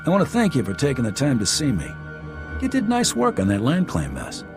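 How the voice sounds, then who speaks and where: An actor playing a gruff, plain-spoken army captain in a video game, talking briskly and candidly. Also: male voice, medium pitch, wildly swooping pitch, music in the background. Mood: brisk, wry. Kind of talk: scripted video game dialogue.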